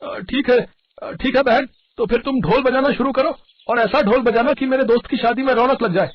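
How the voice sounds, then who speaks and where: A man's voice: very high voice, fairly quick, highs cut off, slight background noise. A man voicing a humble, friendly poor young man in a story, polite and hesitant. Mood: polite, hopeful.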